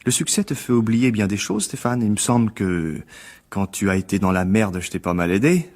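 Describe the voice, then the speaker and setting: An actor playing a bitter, sarcastic failed actor turned critic in a French radio drama, needling with false cheer. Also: male voice, lowish voice, moderate pace, a little mellow, close to an everyday voice. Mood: resentful.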